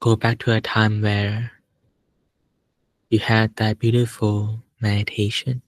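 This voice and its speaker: A man leading a guided meditation as a teacher, speaking calmly and without hurry, in short phrases with long pauses. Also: on the low side, dry recording.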